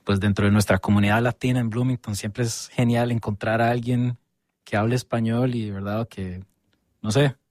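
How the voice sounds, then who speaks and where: A man's voice: medium pitch, steady tone, normal speed, darkish tone, no echo, clean studio sound. A man hosting an interview, asking long, rambling questions full of fillers. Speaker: host. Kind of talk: casual interview.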